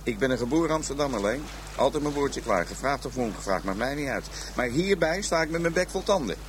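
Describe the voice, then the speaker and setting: A man's voice: mid-range voice, animated, fairly quick, background noise, natural voice. An actor playing a talkative Amsterdam police detective abroad in a radio drama, a man with a blunt, colloquial, wry manner. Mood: dismayed.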